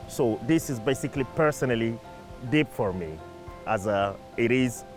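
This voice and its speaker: A man whose first language is French, talking in an earnest, measured and reflective way as a community campaigner in a documentary interview. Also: mid-range voice, lively intonation, soft background music.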